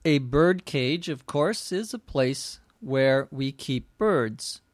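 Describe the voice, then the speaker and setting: A middle-aged man teaching English, telling a short story and then explaining words slowly and clearly, with dry little jokes. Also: medium pitch, lively intonation, dry recording. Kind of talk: scripted story and slow vocabulary explanation.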